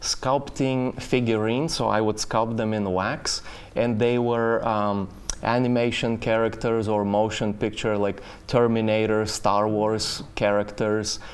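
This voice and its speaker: Brief: middle-aged man from Bulgaria, guest painter, low smooth voice, halting with fillers. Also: little pitch movement. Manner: halting, with many fillers and restarts. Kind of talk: casual interview talk.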